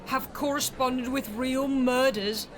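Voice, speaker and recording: An actress playing an excitable, eager young girl investigator. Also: high-pitched, slow pace, crowd noise.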